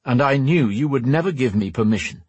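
A man plays a suave male rogue in an audiobook, all polished courtesy and amiable ease. His speech is formal and theatrically polite, recorded dry and close, with a slightly husky edge. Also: medium pitch, expressive, brisk, dry recording, muffled recording, voice pushed up. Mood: conciliatory. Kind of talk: audiobook character dialogue.

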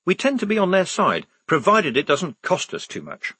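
A slightly nervous newspaper editor, done by a male reader, makes his case persuasively. His husky voice moves up and down lively. The recording is dry and close. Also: high-pitched, normal speed, no echo, voice pushed up, full character voice. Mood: explaining.

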